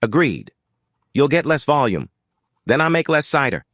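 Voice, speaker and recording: A man voicing a firm, independent woman cider maker with a measured, concise, plain-spoken manner in an audio drama. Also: mid-range voice, big pitch swings, a bit bright, no echo, studio quality, pitched above the performer's own voice. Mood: conceding, practical.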